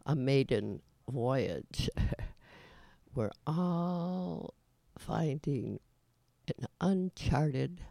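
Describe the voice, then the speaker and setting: A raspy-voiced man hosts a radio show, drifting slowly through a loose, chatty monologue full of fillers, restarts and little chuckles. His voice has a gravelly edge, and the recording sounds dry and close. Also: mid-range voice, lively intonation, dark tone, no echo. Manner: chuckles often, trails off with many fillers and restarts. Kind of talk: rambling casual monologue.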